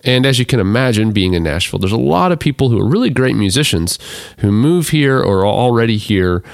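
A man with a deep baritone, hoarse from allergies, hosting a casual solo podcast, rambling with many restarts and fillers. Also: animated, a little fast, dry recording, studio quality. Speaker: podcast host.

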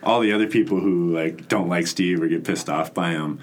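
A man's voice: low-pitched, normal speed. A young man hosting a podcast, talking loosely and easygoing with friends. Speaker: podcast host. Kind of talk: casual chat.

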